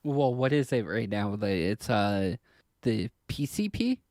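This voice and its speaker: A man's voice: on the low side, unhurried, darkish tone, no echo, studio quality. A man co-hosting a casual political chat, speaking hesitantly as he searches for words. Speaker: podcast co-host.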